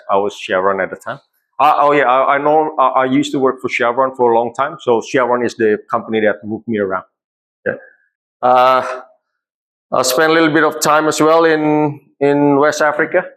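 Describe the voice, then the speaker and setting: A man in his fifties with an Indonesian accent, speaking informally to a group as a trainer, jovial and halting. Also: mid-range voice, a bit bright, rough recording. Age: 50s. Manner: jovial, chuckles often. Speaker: trainer introducing a course. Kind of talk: informal self-introduction to a group.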